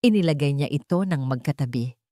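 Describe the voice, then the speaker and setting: Female Filipino storyteller: lower register, expressive swings, dramatic scripted delivery. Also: a little fast, no echo, studio quality. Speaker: narrator.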